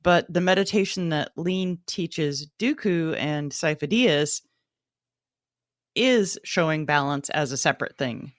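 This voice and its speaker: A woman's voice: deep voice, animated, measured pace, dry recording. A woman chatting as a podcast co-host in a dry, close recording. She is conversational and reflective, explaining her thoughts in a casual book club discussion.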